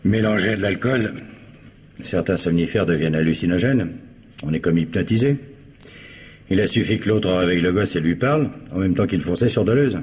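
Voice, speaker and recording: An actor playing a world-weary police investigator in a 1950s-style French radio crime drama, explaining in a measured, dryly ironic way. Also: male voice, low-pitched, a little mellow, lightly acted. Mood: explanatory. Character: world-weary male police investigator, matter-of-fact and sardonic. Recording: noisy old radio drama recording.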